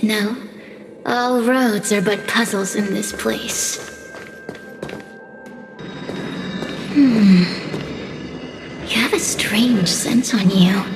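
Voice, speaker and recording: A woman's voice: medium pitch, lightly acted. A woman voicing a hungry, seductive, menacing spirit villain in a video game, theatrical and savoring every word. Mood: sly, taunting. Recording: loud music behind the voice. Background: game music.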